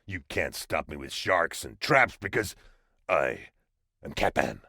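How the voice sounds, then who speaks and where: A really deep pompous superhero, melodramatic and forceful, cartoony game parody. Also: male voice, sing-song, a little slow, vocal fry, no echo, clean studio sound, full character voice. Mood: heroic, commanding. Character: grandiose, melodramatic male comic-book superhero.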